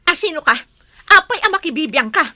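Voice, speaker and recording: Sharp, high-voiced villainous woman. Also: big pitch swings, fairly quick, no room sound, clean studio sound, exaggerated voice. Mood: indignant. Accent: Ilocano. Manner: sharp, demanding. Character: greedy, indignant, scheming woman villain. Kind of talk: radio drama acting.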